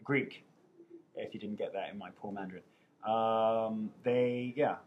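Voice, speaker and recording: A man's voice: lowish voice, fairly even intonation, a little slow, highs cut off, slight background noise. A man in his thirties, an actor, chatting casually on a webcam as he reads out viewer questions and answers them with playful self-mockery. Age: 30s. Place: indoors at home. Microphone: webcam mic.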